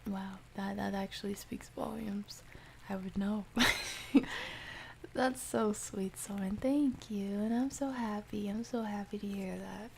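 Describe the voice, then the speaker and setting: A soft-spoken woman hosting an ASMR livestream, chatting casually and gently, often dropping toward a whisper. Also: medium pitch, steady tone, a little slow, creaky, noisy background. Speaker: livestream host. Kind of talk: ASMR livestream, casual chat reading and answering viewer comments.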